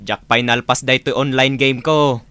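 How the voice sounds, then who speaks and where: A man plays an idle, gaming-obsessed husband in an Ilocano radio drama. His voice sits at a middle pitch. He grumbles and whines in complaint. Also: fairly even intonation, normal speed, bright, forceful tone, clean tone, no room sound, close to an everyday voice. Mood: reluctant. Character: grumbling, idle husband and father, online gamer.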